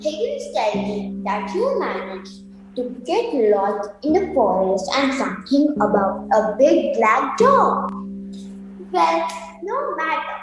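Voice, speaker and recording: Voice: high-pitched, huge pitch swings, slow pace, heavily acted. An actor playing a suave, menacing vampire count with a grandly courteous manner in a radio play. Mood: suave, ominous. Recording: heavy echo with music behind.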